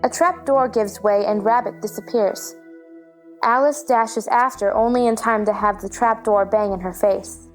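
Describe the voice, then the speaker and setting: Woman narrating stage directions, slightly high voice, reading from a script. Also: normal speed, faint music behind.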